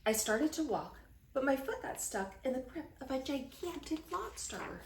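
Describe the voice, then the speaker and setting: A woman's voice: high voice, sing-song, moderate pace, voice pushed up. A woman voicing an old grandpa who tells tall adventure tales with dramatic emphasis in a children's storybook. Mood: dramatic storytelling. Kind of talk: children's picture book read-aloud. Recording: roomy, echoing sound.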